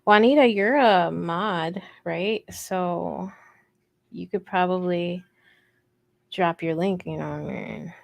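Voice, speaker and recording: A woman with a soft voice, hosting a casual craft livestream in a rambling, playful, self-deprecating way as she answers viewer comments. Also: on the low side, takes it slowly, vocal fry, no echo. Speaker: host of a crochet livestream.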